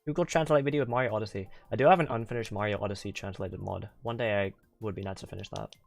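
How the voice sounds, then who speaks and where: A man's voice: lowish voice, very fast, vocal fry, dry recording. A young man streaming and chatting casually with viewers, rambling with lots of "like" and restarts, venting at times. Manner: venting, exasperated. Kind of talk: casual livestream chat with viewers. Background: faint game music.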